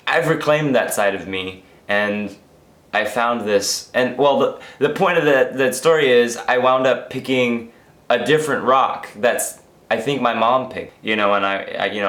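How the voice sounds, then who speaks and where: Hoarse, tired young man, echoey room. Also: on the low side, a bit bright, a little hiss or hum. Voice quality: rambling, self-interrupting. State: hoarse, losing his voice from illness; tired after a long work shift. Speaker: vlogger. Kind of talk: casual daily vlog.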